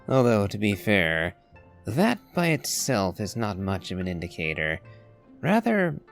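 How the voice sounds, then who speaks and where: Slow, creaky-voiced man musing. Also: sits low. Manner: measured, musing. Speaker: game streamer. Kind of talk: casual in-character game commentary. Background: game music.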